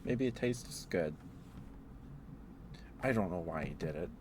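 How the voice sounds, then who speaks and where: A man streaming ASMR, chatting in a halting, rambling way through casual personal stories. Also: sits low, lively intonation, background noise.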